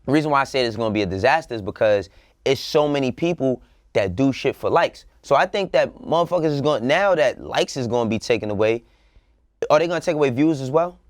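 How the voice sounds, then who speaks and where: A man's voice: mid-range voice, animated, quick pace, no room sound, studio quality. A man co-hosting a casual panel show, talking bluntly in slang with swearing and repeating himself for emphasis. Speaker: show co-host giving opinions.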